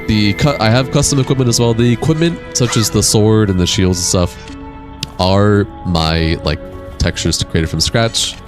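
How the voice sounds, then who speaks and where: A young man with a deep voice, casually streaming a game and chatting with viewers in a laid-back, rambling way. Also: moderate pace. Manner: laid-back, rambling, reads and answers chat. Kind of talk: casual live-stream chat while gaming. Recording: game music playing behind.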